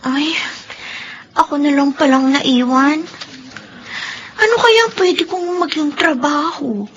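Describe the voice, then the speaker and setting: A woman's voice: sits high, takes it slowly, a bit bright, lo-fi sound, a little hiss or hum. A woman playing a girlish, eager young adult younger sister in radio drama dialogue. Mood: hopeful, excited.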